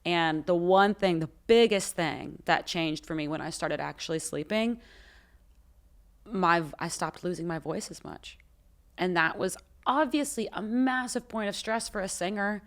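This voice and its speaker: Low-pitched chatty young woman. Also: unhurried. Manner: chatty, animated storyteller. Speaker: podcast co-host, singer. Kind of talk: casual chat. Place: recording studio.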